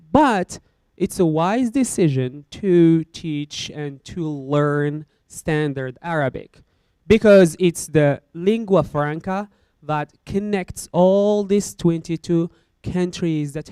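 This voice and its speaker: A man with a smooth, resonant voice lays out his points at a panel, rushing through some phrases with pauses and ums between them. The sound is dry and close to the microphone. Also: a little high, warm tone, no room sound. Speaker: Arabic teacher answering as panel guest. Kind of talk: panel discussion answer.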